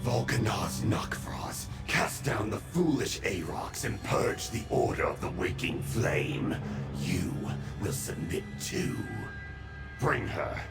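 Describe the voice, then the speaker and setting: A cold villain in a fantasy game, with a lowish gravelly voice and slow, threatening delivery. He commands like a zealot, echoing over loud music. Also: very animated, full character voice. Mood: cold menace. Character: menacing male villain, cold zealous enforcer. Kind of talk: fantasy video game character acting.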